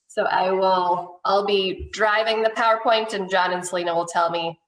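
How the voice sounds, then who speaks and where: A woman's voice: mid-range voice, fairly even intonation, normal speed. A woman hosting a virtual event on a video call, giving casual announcements and instructions. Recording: echoey, distant microphone.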